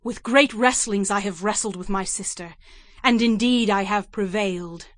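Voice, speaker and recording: An envious, determined younger wife played by a woman in an audio scripture drama. She speaks at a middle pitch with emotional emphasis, in a close, dry recording. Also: moderate pace, no echo, close to an everyday voice. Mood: triumphant.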